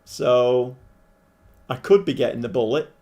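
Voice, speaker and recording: Croaky man streaming a game. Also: medium pitch, unhurried, darkish tone. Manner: chatty, thinking aloud, many restarts. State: hoarse, croaky voice. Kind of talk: casual live-stream commentary. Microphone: desk microphone.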